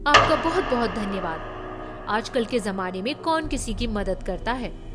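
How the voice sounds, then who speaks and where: Woman playing a high-voiced vengeful witch in disguise. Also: expressive, unhurried, music in the background. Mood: polite pleading. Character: vengeful female witch spirit posing as stranded beauty. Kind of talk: dramatised horror story dialogue.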